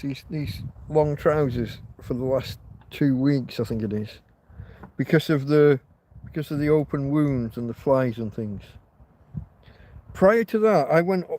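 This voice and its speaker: A man's voice: a little high, warm tone. A man hosting a rambling live stream, chatting loosely to his viewers and restarting sentences, with a slight cough. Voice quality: slight cough, throat tickle. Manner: meandering, restarts often, chats to viewers. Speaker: livestream host.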